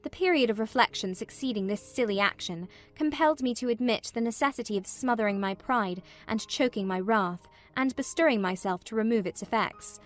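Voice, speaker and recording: A vexed young gentlewoman, played by a woman, tells her wretched tale as if from a letter. Her voice sits fairly high, the storytelling is measured and literary, and music plays faintly behind. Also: lightly acted. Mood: rueful recounting. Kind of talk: dramatized audiobook narration.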